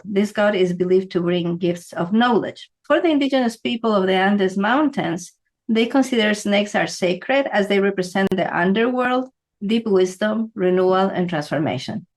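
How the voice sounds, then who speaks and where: A woman from Ecuador gives a relaxed, conversational lesson. She explains things at an unhurried, talky pace and keeps checking in with a quick "right?". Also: mid-range voice. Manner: conversational, asks "right?" often. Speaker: feng shui teacher. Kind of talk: explanatory talk to camera.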